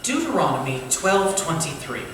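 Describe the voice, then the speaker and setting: A man playing a courteous, eager Victorian gentleman writer with a genteel, theatrical manner in a staged play reading. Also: a little high, big pitch swings, moderate pace, music in the background. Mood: brooding, intense. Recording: very echoey hall, distant mic, faint noise.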